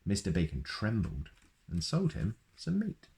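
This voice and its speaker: A man's voice: lowish voice, huge pitch swings, measured pace, creaky, darkish tone. A man reading a children's picture book aloud in a playful way, adding sound effects. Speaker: storyteller.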